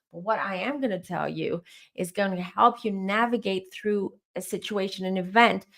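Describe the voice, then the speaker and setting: A woman hosting a live-stream tarot Q&A, her voice starting to go hoarse, who rambles as she gives advice straight to her viewers. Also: medium pitch, a bit bright. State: voice going hoarse. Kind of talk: live-stream spiritual Q&A, answering chat questions.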